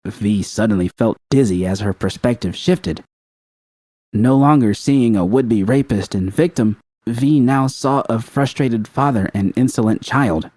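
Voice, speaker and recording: A man's voice: medium pitch, measured pace, dark tone, no room sound, poor audio. A man reading scripted fiction as an audiobook narrator.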